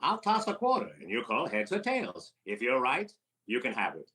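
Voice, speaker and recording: Bright, bouncy middle-aged wisecracker, all sly jokes. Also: male voice, mid-range voice, wildly swooping pitch, low-quality recording. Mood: wisecracking. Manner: quick wisecracks, sly teasing delivery. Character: wisecracking fast-talking comedian, male, middle-aged. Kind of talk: old-time radio comedy recreation.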